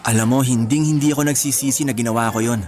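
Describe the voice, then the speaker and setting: An actor playing a gentle, sincere young man in love in a Filipino radio romance, earnest and lightly teasing. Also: mid-range voice, steady tone, fast, lightly acted. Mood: earnest, reflective. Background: music.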